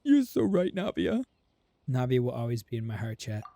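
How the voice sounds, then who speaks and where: Animated streamer reacting to a game. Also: mid-range voice, normal speed, heavy background noise. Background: game music.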